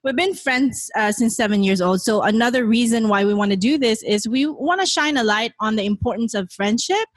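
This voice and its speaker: A woman's voice: on the high side, a little fast, few pauses, dry recording. Woman, 30s, podcast host, chatty, "you know" fillers, dry close sound. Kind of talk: casual podcast chat. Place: bedroom in a friend's home.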